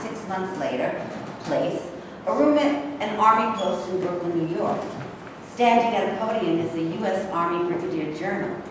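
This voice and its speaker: A woman reading out stage directions as scripted narration in a plain, declarative manner. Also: lowish voice. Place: bare indoor rehearsal room. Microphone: distant camera microphone. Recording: echoey room from far off, clear noise.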